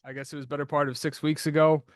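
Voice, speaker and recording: A man's voice: a little high, level tone, quick pace, clear, resonant tone, dry recording, studio quality. A man hosting a podcast interview in a casual, chatty, rambling way. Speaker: podcast host.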